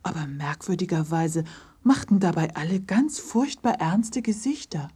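A woman's voice: lowish voice, lively intonation, measured pace, mellow, husky. A woman narrating a children's story from a script as the narrator of an audio play.

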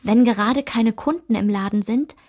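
A woman's voice: on the high side, moderate pace, a little mellow, close to an everyday voice. A woman playing a solitary, observant narrator who recalls her schoolgirl self in precise, composed literary radio-play narration. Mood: matter-of-fact. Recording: clear music behind the voice.

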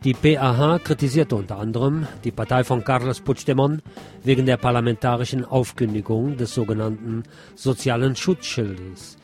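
A man's voice: mid-range voice, moderate pace, darkish tone, soft background music. A man hosting a radio programme, reading press commentary and news aloud. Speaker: radio show host.

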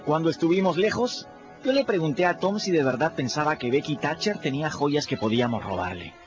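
A man's voice: fairly high pitch, animated, brisk, lightly acted. An actor playing a scrappy, candid boy who narrates his adventures in a radio drama, confiding in the listener. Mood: candid storytelling. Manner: conversational, confiding, addresses listeners directly. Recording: dull lo-fi radio drama with music.

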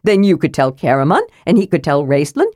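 A woman voicing a small, cheerful, curious male kender, high, bright and eager. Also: very animated, a little fast, dry recording, clean studio sound.

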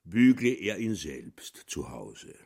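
A boozy, jovial cobbler in a radio play. His low-leaning voice is gravelly and very animated, with a chummy, self-important air. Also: slow pace, heavily acted. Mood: jovial, pompous. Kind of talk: German radio play acting.

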